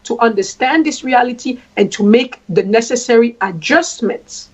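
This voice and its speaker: A woman from Congo, a traditional queen, speaking at length in a deliberate way and repeating phrases for emphasis. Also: a little high, darkish tone, breathy edge. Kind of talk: spoken address. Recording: dull lo-fi sound.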